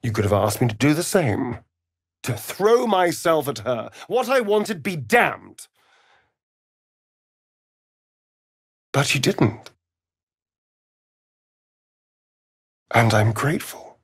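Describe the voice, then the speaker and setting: An actor with a British accent playing a vain, flirtatious, sardonic vampire rogue in a fantasy video game, arch and theatrical in delivery. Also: male voice, medium pitch, sing-song, a little slow, slightly rough, dry recording, studio quality, exaggerated voice. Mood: hurt, sincere. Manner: arch, drawling, theatrical, mocking.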